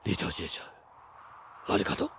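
An actor playing a formal, probing Japanese engineering expert in a radio drama. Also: male voice, normal speed, fairly bright tone, close to an everyday voice. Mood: respectful. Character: Japanese male engineering expert, astonished then respectful. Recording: clear background noise.

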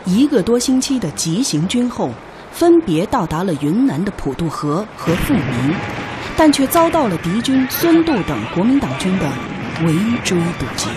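A woman's voice: medium pitch, sing-song, normal speed. A woman giving formal, declamatory scripted narration for a historical drama. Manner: solemn, declamatory. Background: background music.

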